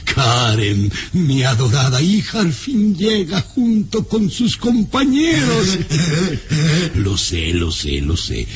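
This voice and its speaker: Haughty baron, scornful father, slow commanding delivery, theatrical radio drama, swooping intonation. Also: on the high side, wildly swooping pitch, husky, full character voice. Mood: gratified, expectant. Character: imperious, scornful baron, father of an adult daughter. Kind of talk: old radio serial acting.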